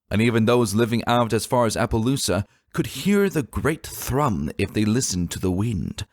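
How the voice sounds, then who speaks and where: A man's voice: fairly low pitch, dry recording, clean studio sound. A man giving a solemn, theatrical dramatic reading of a scripted story. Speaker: narrator. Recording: music behind the voice. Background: background music.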